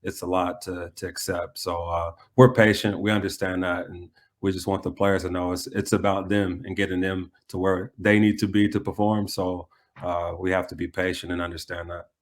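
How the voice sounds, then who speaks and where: A man's voice: low-pitched, flat delivery, moderate pace. An American man, a soccer head coach, answering interview questions conversationally. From United States.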